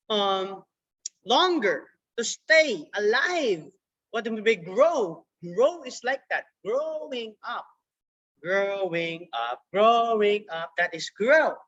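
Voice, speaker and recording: A woman teaching a young children's science class over a video call, repeating words slowly for the kids. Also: a little high, a bit bright. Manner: slow, repetitive, drawn-out words for children. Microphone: computer mic on a video call. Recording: dull lo-fi video call audio.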